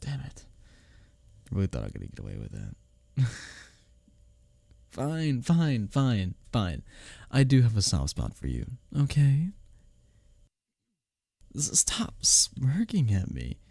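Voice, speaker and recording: Casting: male actor as smitten workplace rival for intimate roleplay audio. Breathy, husky, dry close sound; teasing yet earnest. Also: mid-range voice, dry recording, heavily acted. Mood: flustered, confessing. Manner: expressive, halting confessions with soft chuckles and sighs. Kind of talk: ASMR roleplay audio drama, spoken intimately to the listener.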